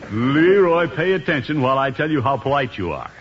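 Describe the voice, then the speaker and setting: An actor playing a pompous, self-satisfied middle-aged uncle in a 1950s radio comedy. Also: male voice, medium pitch, animated, a little fast, natural voice. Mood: proud, insistent. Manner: pompous, preening, chuckling. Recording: muffled, noisy 1950s radio recording.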